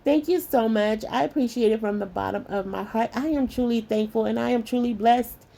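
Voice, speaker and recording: A middle-aged woman hosting a craft channel, narrating a tutorial in a chatty way with a slightly hoarse voice and calling her viewers lovies. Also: a little high, brisk. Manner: warm, chatty, calls viewers lovies and y'all.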